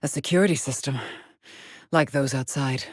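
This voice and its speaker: A woman's voice: very deep voice, moderate pace, no room sound, studio quality. An actress voicing a haunted, determined female astronaut scout in a video game, with terse, introspective, formal phrasing. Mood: focused, clinical. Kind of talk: dramatic video game monologue and log entries.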